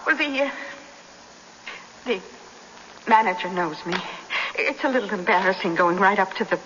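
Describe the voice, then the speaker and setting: An actress playing a prim, flustered middle-aged spinster in a radio drama, stammering and over-genteel. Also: female voice, mid-range voice, lively intonation, moderate pace, natural voice. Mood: embarrassed, hesitant. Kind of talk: 1970s radio drama acting. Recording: dull, noisy old radio broadcast, reverberant.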